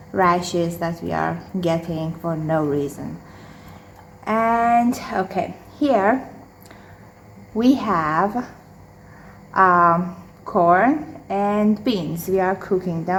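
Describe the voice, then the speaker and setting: A hoarse woman talking through her day in a casual home vlog, slow and hesitant with many fillers as she cooks. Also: mid-range voice, low-quality recording. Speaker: vlogger. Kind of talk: casual daily vlog while cooking. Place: home kitchen with windows open. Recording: home recording with outside traffic noise. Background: street and vehicle noise from outside.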